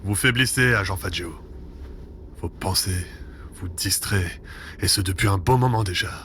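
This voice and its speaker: A man's voice: sits low, normal speed, bright, forceful tone, a little hiss or hum. A man playing a cold, scolding intelligence chief in a spy audio drama, speaking formally and curtly. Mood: stern, reproachful. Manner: formal, curt, reproachful.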